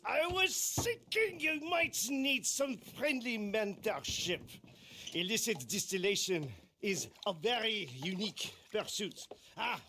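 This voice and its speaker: An actor playing a proud, fussy, genteel French master distiller with a French accent, flamboyant and didactic, in a game cutscene. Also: male voice, on the high side, pushed and bright, a little hiss or hum, heavily acted. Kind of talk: cinematic game dialogue.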